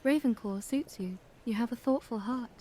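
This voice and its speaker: Woman playing a high-voiced dreamy young witch. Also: moderate pace, mellow, a little hiss or hum, lightly acted. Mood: dreamy, gentle. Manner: dreamy, serene, whimsical. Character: dreamy, gentle teenage witch girl. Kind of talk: audio drama acting.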